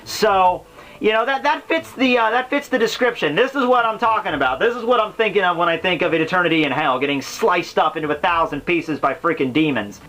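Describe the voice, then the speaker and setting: A man's voice: sits high, a little fast, sharp tone, poor audio. A man giving casual, unscripted explanatory commentary as a host, rambling and slangy in his delivery. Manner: rambling, slangy, with exaggerated exasperation. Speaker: host of a book summary series.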